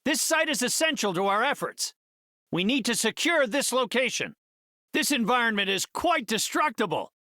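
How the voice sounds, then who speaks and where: An actor playing a brilliant middle-aged scientist superhero in a video game, articulate, formal and earnest in his measured delivery. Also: a little high, a bit bright, no room sound, studio quality. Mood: urgent, commanding. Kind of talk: scripted game character voice lines.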